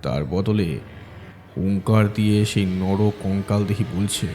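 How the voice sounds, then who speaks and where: Low, dark-voiced horror storyteller man. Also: a little slow, in a large hall, faint music behind. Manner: dramatic, suspenseful. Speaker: storyteller narrator. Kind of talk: dramatic horror story narration.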